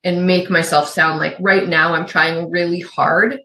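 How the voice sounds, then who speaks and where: A woman's voice: fairly low pitch, steady tone, unhurried, pushed and bright, slight background noise. Chatty middle-aged woman with a faint Yooper accent. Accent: Yooper, suppressed. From Upper Peninsula of Michigan. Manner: chatty, rambling with restarts and asides. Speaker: podcast co-host. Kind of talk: casual chat. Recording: roomy echo.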